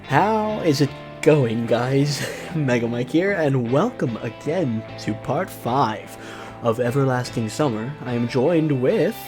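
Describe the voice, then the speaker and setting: Very low-voiced woman hosting a let's-play. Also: takes it slowly. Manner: playful, animated asides. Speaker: host of a gaming show. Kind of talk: casual let's-play chat and dramatic read-aloud of a visual novel. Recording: guitar game music behind the voice. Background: guitar game music.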